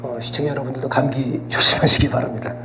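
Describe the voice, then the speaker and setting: A man's voice: mid-range voice, level tone, pushed and bright. A man hosting a talk show with a sore throat from a cold, chatting and prompting his guest. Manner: chatty, quick agreeing backchannels. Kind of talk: casual interview talk. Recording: audible hum and background noise.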